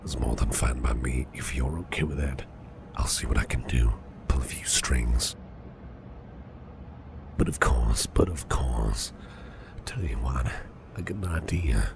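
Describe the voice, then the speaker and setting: A man playing a caring, reassuring businessman boss in a soft, half-whispered ASMR roleplay, soothing and unhurried, gently flirtatious. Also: exaggerated voice. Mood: caring, encouraging. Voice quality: very deep, gravelly, breathy half-whisper. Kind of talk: ASMR roleplay, personal attention. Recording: clearly audible background noise.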